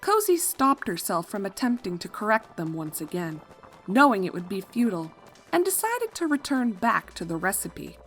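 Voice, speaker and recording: A woman's voice: mid-range voice, very animated, normal speed, a bit bright, faint music behind. A woman reading scripted story narration as the narrator of a fan fiction.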